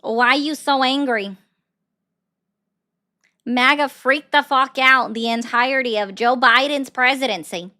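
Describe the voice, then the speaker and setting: A woman's voice: high voice, pushed and bright. Blunt, mocking woman hosting a call-in livestream. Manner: blunt, argumentative, quick to mock. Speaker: livestream host taking callers.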